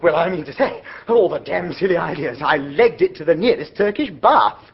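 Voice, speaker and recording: A man playing a breezy, flustered young gentleman who narrates his own story in a chatty, jaunty manner. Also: a little high, animated, moderate pace. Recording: dull lo-fi recording.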